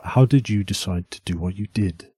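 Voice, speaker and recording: A man playing a stern but caring priest and father in an audio drama, speaking with grave, measured authority. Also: low voice, mellow, husky. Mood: stern, formal.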